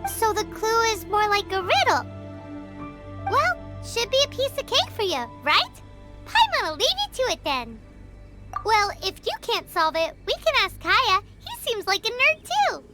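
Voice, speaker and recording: A woman voicing a tiny, chirpy, cheeky fairy companion in a video game, with a squeaky childlike voice. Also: very animated, a little slow, heavily acted. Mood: curious, cheeky. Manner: exclamatory, refers to self in third person. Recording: music behind the voice.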